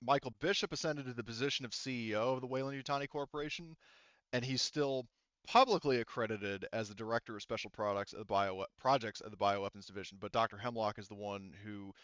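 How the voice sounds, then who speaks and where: A man improvising tabletop game narration as game master, often halting and rephrasing mid-sentence. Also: mid-range voice, a bit bright, clean tone, no room sound, muffled recording.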